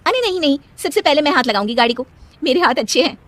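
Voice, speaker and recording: Woman acting a high-pitched, boastful, bossy young housewife. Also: lively intonation, fast, dry recording. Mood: proud, insistent. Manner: sassy, scolding, self-satisfied.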